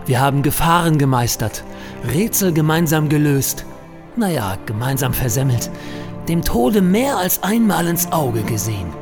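A man's voice: a little high, sing-song, moderate pace. A man acting a heroic, melodramatic office adventurer in a comedic radio play, with pompous, grandiose delivery. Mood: solemn, heartfelt. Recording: music behind the voice.

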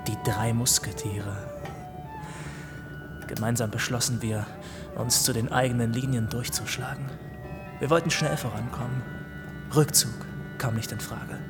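An actor in a German radio play playing a boastful, bossy, naive young American soldier, short and fat, with an emphatic, self-important manner. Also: male voice, mid-range voice, close to an everyday voice.

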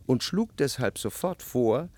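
A man's voice: medium pitch, animated, a little slow, warm tone, no room sound. A man giving scripted literary narration as the narrator of a radio drama.

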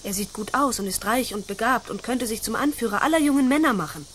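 A woman's voice: on the high side, fast, a bit bright, a little hiss or hum, close to an everyday voice. An actress playing a proud, spirited young noblewoman in a radio play, speaking formally and dramatically. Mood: scornful, wistful.

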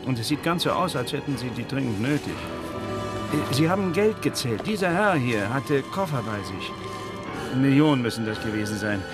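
A male actor plays an uneasy fellow in a radio crime drama. His voice is mid-pitched and earnest as he explains things. Music is clearly heard behind him. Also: lively intonation, moderate pace, close to an everyday voice. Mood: uneasy, explaining. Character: uneasy male actor turned alarm installer.

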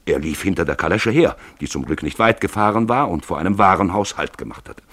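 A man narrating a literary radio play in a theatrical, expressive style, stretching words for emphasis. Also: low-pitched, a little fast, no echo.

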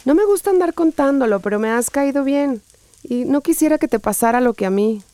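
An actress playing a gentle, resilient female survivor, speaking earnestly and confidingly in a radio drama. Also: sits high, animated, a little fast, no room sound. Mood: earnest, warning.

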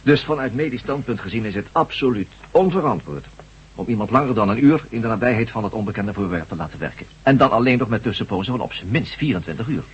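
An actor playing an authoritative professor of brain surgery in a radio drama, formal, measured and lecturing. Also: male voice, lowish voice, lively intonation, slightly rough. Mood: grave, emphatic. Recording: dull lo-fi old radio recording.

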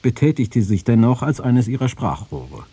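A man's voice: medium pitch, very animated, moderate pace, mellow, breathy edge, no room sound. A man reading written quotations in a scripted radio feature. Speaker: reader of press quotations. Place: dry radio studio.

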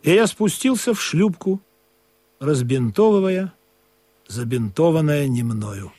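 An actor playing a wistful, questioning sailor who tells of an absurd sea voyage in a deliberate, musing radio play manner. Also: male voice, a little high, lively intonation, mellow. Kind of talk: literary radio play narration and acting. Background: music under the voice.

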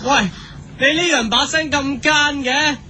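A man acting as a cocky, grumbling young superhero in a comic radio play. His extremely high, piercingly sharp voice is whiny and argumentative. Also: unhurried, a little hiss or hum, exaggerated voice. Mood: boastful, suspicious.

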